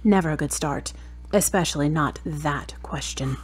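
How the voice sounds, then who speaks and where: A woman's voice: low voice, moderate pace, slightly rough. Wry, exacting girlfriend played by a woman. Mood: wry, probing. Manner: wry, challenging, pointed questioning. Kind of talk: audio drama dialogue.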